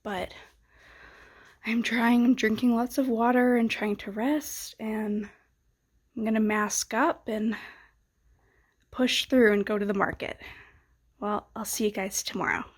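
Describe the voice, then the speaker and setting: A woman's voice: fairly high pitch, fairly even intonation, a little slow, long pauses, breathy edge. Sniffly, nasal young woman vlogger. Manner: soft-spoken, chatty, often trailing into whispers. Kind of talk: casual chat to camera. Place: home studio.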